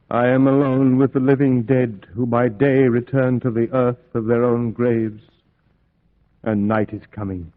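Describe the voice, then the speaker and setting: A man's voice: mid-range voice, close to an everyday voice. Male actor as despairing trapped young man, solemn vintage radio acting, narrow phone-like sound, long echoing reverb. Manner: solemn, dramatic. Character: trapped despairing young man reading his last journal entry.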